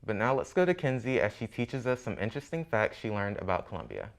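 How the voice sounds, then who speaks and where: Low-voiced young man reading news. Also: fast. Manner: steady read-from-script delivery. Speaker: student show host. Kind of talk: scripted news reading and interview host. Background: music underneath.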